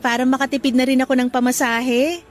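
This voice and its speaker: A woman's voice: high voice, flat delivery, fairly quick, soft background music, natural voice. A woman with a Filipino accent playing a devoted young office worker and girlfriend in a radio drama, earnest and emotive. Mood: light, friendly. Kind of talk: Tagalog radio drama acting.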